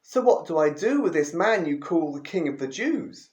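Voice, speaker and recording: A man's voice: a little high, animated, normal speed, a little mellow, lightly acted. A man reading as a questioning Roman governor. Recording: roomy echo.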